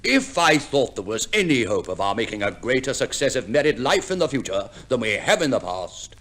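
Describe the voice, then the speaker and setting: An amiable, rather self-satisfied army major in a 1950s radio drama. His medium-pitched voice swings very widely up and down, with a formal, earnest manner. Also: big pitch swings, a little fast, a bit bright. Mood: earnest, agreeable. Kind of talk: 1950s radio play acting.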